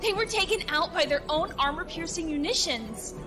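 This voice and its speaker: A woman playing an exuberant, brash young crew member with an excitable, gleeful manner in a space opera audio drama. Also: very high voice, moderate pace, music in the background.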